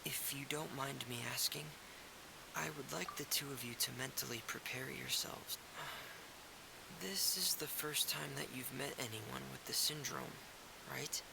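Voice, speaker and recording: An actor playing a shy, somber young man in a video game, soft and breathy, with hesitant, polite delivery. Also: medium pitch, measured pace, background noise. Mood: hesitant, grave. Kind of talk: understated video game cutscene acting.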